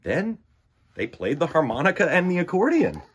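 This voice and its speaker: A man reading a children's picture book aloud in an expressive, dramatic way, with swells and hushes. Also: fairly high pitch, normal speed, breathy edge. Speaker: children's storybook reader. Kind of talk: animated read-aloud narration of a picture book.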